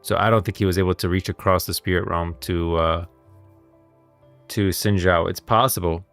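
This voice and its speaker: A man's voice: sits low, fairly even intonation, moderate pace, a bit bright, soft background music. A man giving casual livestream commentary on game lore, rambling and thinking aloud as a streamer.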